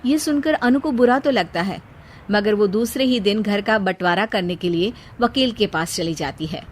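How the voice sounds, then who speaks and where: A woman's voice: medium pitch, moderate pace, a little hiss or hum. A woman narrating a scripted moral story as a storyteller.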